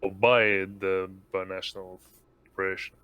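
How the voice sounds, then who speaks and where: A man playing a tabletop roleplaying game, asking questions in a halting, hesitant way. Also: low voice, flat delivery, clean tone, dull audio. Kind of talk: casual game chat.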